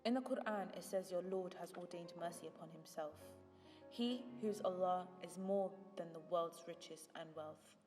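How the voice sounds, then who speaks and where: A woman's voice: fairly low pitch, normal speed, a little mellow. A young woman in her teens delivering a confessional, partly rhymed spoken-word monologue, as if leaving a reflective message for a friend. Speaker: student narrating an audio journal. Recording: long reverb with music behind. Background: music.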